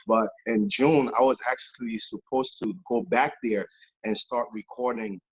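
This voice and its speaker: A man from Haiti, a pastor and music missionary, talking in an animated interview with many fillers and repeated phrases. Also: mid-range voice, normal speed, slightly rough, low-quality recording. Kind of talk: casual interview testimony. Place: New York based, remote interview.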